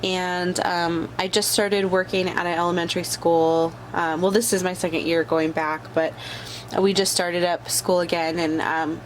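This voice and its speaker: A woman's voice: fairly low pitch, flat delivery, moderate pace, slight background noise, poor audio. A woman with a bad cold hosting a casual crafting tutorial, talking along chattily with many fillers as she works. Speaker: crafting channel host.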